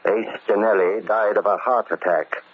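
An actor playing a vengeful, cunning ex-convict barber in 1940s radio drama, speaking with deliberate, menacing politeness. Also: male voice, fairly low pitch, fairly even intonation, lightly acted. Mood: smug, cold. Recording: scratchy, narrow-band old radio recording.

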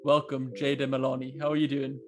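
A man's voice: medium pitch, monotone, a little fast, faint music behind. Hesitant male podcast host. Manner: hesitant, many ums and uhs. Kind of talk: casual interview.

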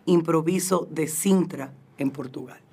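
A woman's voice: deep voice, measured pace, slightly rough. A woman co-hosting, reading event details with fillers. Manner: flat, even reading with fillers. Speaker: co-host.

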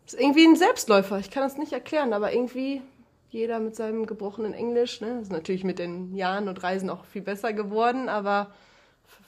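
A young woman talks in a relaxed, casual way as a podcast guest. Her voice sits at a middle pitch. She laughs often and fills her sentences with little hesitations. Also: fast talker. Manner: chatty, laughs often, many fillers.

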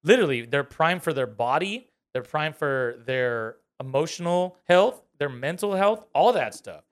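A man's voice: a little high, lively intonation, a little slow. A man in his thirties co-hosting a podcast, talking loosely and profanely, laughing often. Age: 30s. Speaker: podcast co-host. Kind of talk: casual chat.

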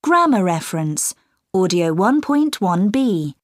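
A woman announcing audio track titles in a crisp, formal manner. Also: medium pitch, sing-song, a little slow, dry recording, clean studio sound. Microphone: dry studio mic.

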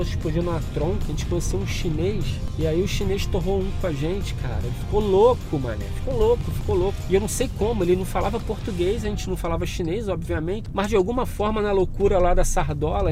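Male storyteller, middle-aged, Rio de Janeiro accent, fairly high voice, casual and foul-mouthed, clear music underneath. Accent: Rio de Janeiro Brazilian. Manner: swearing, slangy, acts out dialogue. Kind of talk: animated casual storytelling, slangy.

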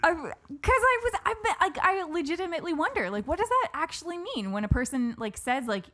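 A woman's voice: very high voice, sing-song, brisk, pushed and bright. A woman hosting a casual podcast, chatty and giggly, her sentences full of fillers. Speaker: podcast host. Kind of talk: casual chat.

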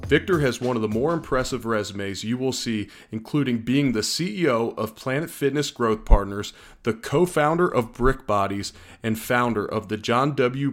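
Podcast host man, measured and friendly. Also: mid-range voice. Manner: measured scripted intro, then friendly banter. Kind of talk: podcast interview hosting.

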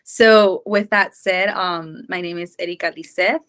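A woman's voice: on the low side, fairly even intonation, measured pace, fairly bright tone, clean tone. A woman in her twenties from Mexico, a podcast guest telling her life story in an earnest, rambling way with many fillers. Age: 20s. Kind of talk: casual personal storytelling.

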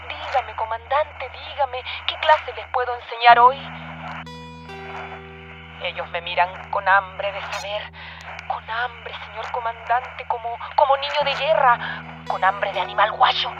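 A high-pitched, aging former police commander, desperate and forgetful. She stammers and repeats her pleas in radio-theatre style. The recording is dull and lo-fi, with loud music underneath. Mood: eager, pleading. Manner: halting, repetitive, pleading, with stammered restarts.

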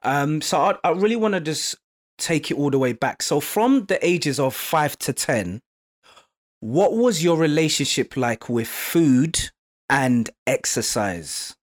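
A man's voice: fairly high pitch, expressive, unhurried. A man hosting a podcast, giving introductions and putting questions to a guest in a conversational way. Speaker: podcast co-host and interviewer. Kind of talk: scripted podcast introduction, then conversational interviewing.

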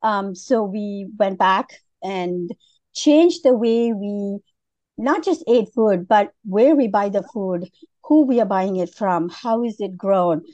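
Middle-aged woman from Malaysia, guest, unhurried with fillers, dry close sound. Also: medium pitch, warm tone, no echo, muffled recording. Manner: unhurried, many fillers and restarts. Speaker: guest, food business founder. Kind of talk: casual interview.

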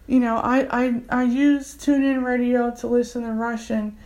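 A woman's voice: fairly high pitch, flat delivery, measured pace, darkish tone. A woman in her forties, a community English tutor, speaking earnestly and enthusiastically in a casual personal testimonial. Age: 40s.

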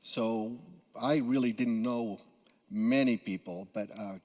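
A man's voice: mid-range voice, slow pace, a little mellow. A Peruvian man in his fifties with a Spanish accent, preaching earnestly as a guest speaker with frequent "uh" fillers. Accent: Spanish-accented English. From Peru. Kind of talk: sermon. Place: church sanctuary. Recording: long hall reverb.

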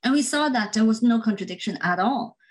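A woman hosting a podcast, calmly reading out prepared introductions and questions. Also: fairly high pitch, brisk. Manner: composed, reads out prepared questions. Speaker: podcast host. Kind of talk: scripted podcast interviewing.

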